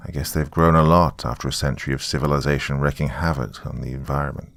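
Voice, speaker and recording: A man's voice: a bass voice, fairly even intonation, quick pace, gravelly, fairly bright tone, no room sound. A man narrating a first-person horror story in a conversational, wry way.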